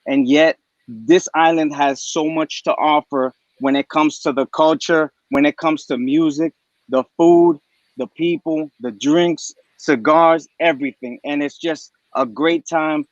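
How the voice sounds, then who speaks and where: A man's voice: a little high, steady tone, a little slow, dry recording. A man raised in Puerto Rico, a guest on a video call, talking eagerly and rambling with many restarts. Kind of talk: casual chat. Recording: dry muffled video call audio.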